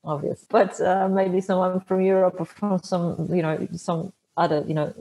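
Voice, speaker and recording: A woman with a Polish accent talks in a fairly low voice, chatty and quick to laugh. She is a native Polish speaker in her thirties, answering an interviewer's questions in a relaxed, casual way with plenty of restarts. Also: poor audio. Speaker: interview guest, motorcycle traveler.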